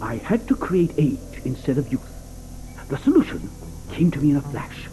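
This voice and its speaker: An actor playing an eccentric, fussy male chocolate maker in an audio drama, talking theatrically with many hesitant pauses. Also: fairly high pitch, big pitch swings, noisy background. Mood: hurried, eager. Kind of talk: 1980s audio drama acting. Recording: studio audio drama with music behind.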